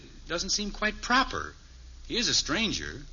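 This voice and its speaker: A man's voice: high-pitched, huge pitch swings, measured pace, gravelly, pushed and bright, husky, exaggerated voice. An actor playing a fretful, overprotective middle-aged American father in 1950s radio sitcom style, wry, exasperated and prone to hemming and hawing. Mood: worried, flustered. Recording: muffled, noisy old radio recording.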